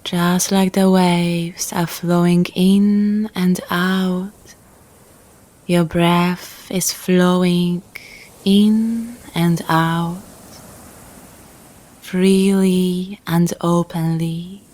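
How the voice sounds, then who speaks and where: A woman guiding a relaxation meditation in a calm, gentle, soothing manner, leaving long pauses between phrases. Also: lowish voice. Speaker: yoga nidra guide.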